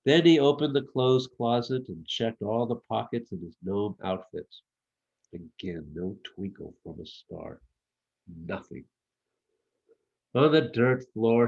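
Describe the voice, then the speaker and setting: A man narrates a children's story at a slow pace. His delivery is measured and expressive, like an author reading his own picture book. The sound is a little dull and lo-fi. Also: fairly low pitch, vocal fry.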